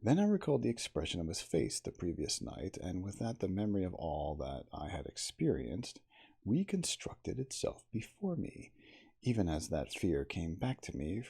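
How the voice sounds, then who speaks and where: A man reading a classic novel aloud as ASMR, in a soft, intimate, slow dramatic storytelling manner. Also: low-pitched, creaky, breathy edge. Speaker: audiobook reader in character as the narrator.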